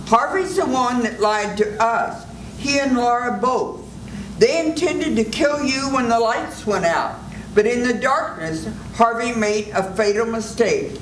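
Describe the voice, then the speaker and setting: A woman's voice: a little high, expressive, a little slow. Older woman playing a stern male doctor. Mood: urgent. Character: male doctor, cool, commanding, secretive. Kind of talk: amateur radio play reading. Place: senior center event room, live reading. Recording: echoey room with audible noise.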